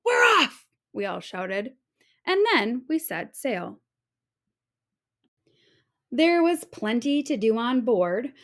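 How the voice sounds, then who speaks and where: A woman reading a children's storybook aloud with theatrical, expressive storytelling. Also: a little high, takes it slowly, long pauses.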